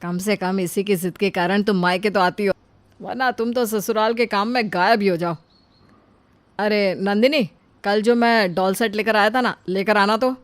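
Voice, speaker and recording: A woman voicing an affectionate young uncle and father, fond and earnest, in an animated family story. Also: medium pitch, normal speed, dry recording, close to an everyday voice.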